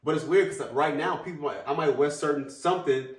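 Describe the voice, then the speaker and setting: A man co-hosting a podcast in relaxed casual chat, often laughing along with his co-host. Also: fairly high pitch, fairly quick. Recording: echoey room, voice a bit far from the mic, faint background noise.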